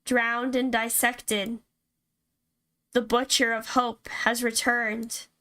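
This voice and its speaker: A woman voicing ominous, accusing spirits of a horror game in a slow, drawn-out, solemn delivery. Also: a little high, little pitch movement, bright, forceful tone. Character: ominous, accusing spirit chorus haunting a cabin.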